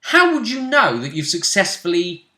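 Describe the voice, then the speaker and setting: A man's voice: sits high, normal speed. A man chatting casually and playfully as game master, recapping a tabletop role-playing session. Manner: playful, animated, acts out game moments.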